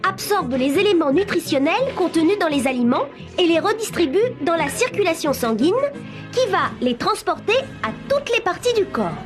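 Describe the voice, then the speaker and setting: A woman's voice: very high-pitched, big pitch swings, moderate pace, music in the background. A woman playing an earnest young schoolchild in a children's cartoon, articulate and explanatory with a mock-official air. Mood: proud, explanatory. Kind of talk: dubbed children's cartoon acting.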